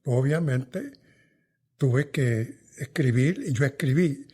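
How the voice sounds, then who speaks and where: An older man, a veteran journalist, answering interview questions in a thoughtful, hesitant way that often trails off softly. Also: mid-range voice, expressive, slow pace, husky. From New York.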